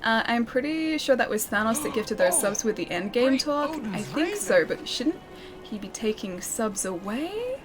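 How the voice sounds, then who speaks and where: A young woman streaming a game and chatting with her viewers, her voice a little croaky and scratchy from a sore throat, laughing often and calling people dude and man. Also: on the high side, very animated, moderate pace, music in the background. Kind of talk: casual chat with live stream viewers.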